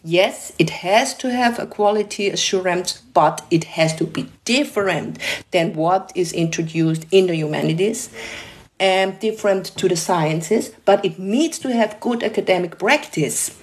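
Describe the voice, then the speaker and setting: A middle-aged woman speaks English in a low voice. She answers reflectively, pausing to search for words, with the odd soft laugh. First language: German. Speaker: artist and researcher.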